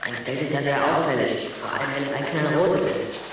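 A man's voice: mid-range voice, brisk, a bit bright, lightly acted. A man playing a wary, cheeky schoolboy in a German audio drama, questioning back sceptically. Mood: doubtful. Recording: echoey, distant, faint noise.

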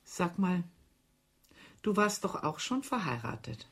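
An actress playing a coquettish newlywed wife in a radio play, a hidden poisoner with a breathy voice. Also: female voice, lowish voice, sing-song, takes it slowly, heavily acted. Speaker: actor. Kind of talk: radio drama acting.

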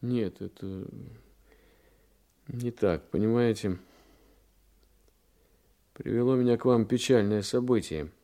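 An actor playing a polite, tactful police detective with a measured manner in a radio play. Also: male voice, on the low side, mellow. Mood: grave. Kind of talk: naturalistic radio play acting.

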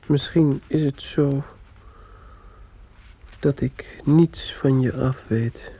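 A man's voice: mid-range voice, fairly even intonation, very slow, dark tone, husky, exaggerated voice. A man acting a troubled, withdrawn young male student in a radio drama, on a dull, muffled old recording. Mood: cold, measured.

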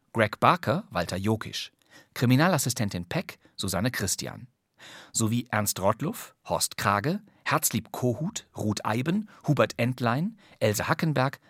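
A man's voice: fairly low pitch, fairly bright tone, no echo, studio quality. A man hosting scripted, wry presentations of old radio crime plays in a playful, theatrical storytelling way. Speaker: podcast host.

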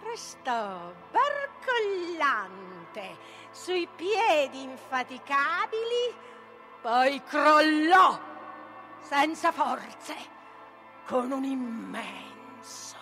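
A woman playing a vengeful, tormented mythical sorceress in a declamatory theatrical monologue, incantatory and swinging between hushed and loud. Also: sits high, sing-song, drawn-out words, music in the background, exaggerated voice. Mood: furious, exalted. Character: Medea, vengeful, savage, tormented sorceress of Greek myth. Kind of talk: theatrical dramatic monologue, stage reading.

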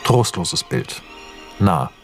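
A man's voice: low voice, sing-song, very slow, faint music behind. A man narrating a radio drama, reading stage directions in a terse, matter-of-fact way.

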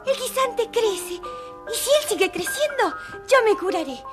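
Frail little storybook girl: tiny very high voice, gentle, earnest, music behind. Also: expressive, measured pace. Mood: hopeful. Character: frail, sweet, hopeful sick little girl. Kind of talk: children's audio storybook acting.

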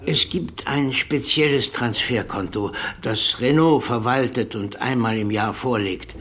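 An actor playing a guarded, pragmatic former police commissioner in a radio drama, speaking carefully and matter-of-factly. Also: male voice, mid-range voice, a little slow, fairly bright tone, natural voice. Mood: resigned, factual.

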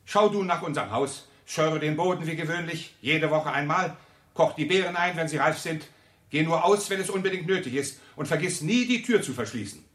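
A man's voice: on the high side, fairly quick, a bit bright, echoey room, lightly acted. A man playing a stern, patronising master of a household in a radio play, giving clipped orders. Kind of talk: 1960s radio play acting.

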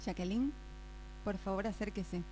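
A woman acting a stern, formal boss who speaks firmly and in measured phrases, in an improvised radio drama. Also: mid-range voice, warm tone, pitched below the performer's own voice, close to an everyday voice, rough recording. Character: stern, formal female boss scolding employee. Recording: faint mains hum.

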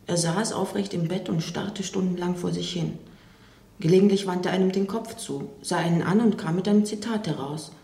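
A woman playing a caring friend who quietly recalls past visits in a reflective radio drama monologue. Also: on the low side, normal speed, creaky, roomy sound. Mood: wistful.

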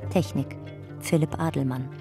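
A woman's voice: low-pitched, steady tone, normal speed. A woman narrator reading scripted narration and credits in clipped, matter-of-fact phrases. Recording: music behind.

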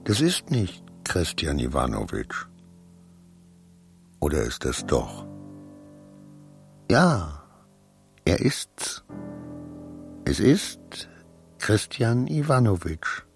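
Slow, haunted, anxious clerk. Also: male voice, deep voice, sing-song, gravelly, faint music behind, full character voice. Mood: haunted dread. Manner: halting, haunted, often dropping to a whisper. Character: anxious, paranoid male government clerk losing his mind. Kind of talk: literary radio play acting.

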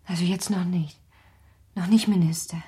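An actress playing a girlish yet guarded young wife of eighteen in a radio play, with a husky, breathy voice. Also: lowish voice, fairly even intonation, moderate pace, mellow, slight background noise, natural voice. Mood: hushed, anxious. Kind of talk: German radio play acting.

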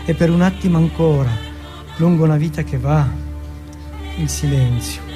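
A man performing a dramatic first-person monologue in short, halting phrases with weighty pauses. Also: fairly high pitch, mellow, soft background music.

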